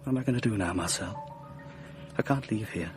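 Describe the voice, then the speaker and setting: An actor playing an obsessive young painter from a rich Parisian family, hesitant and fervent, in a radio drama. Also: male voice, on the low side, fairly quick, music in the background, natural voice. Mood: anxious, evasive.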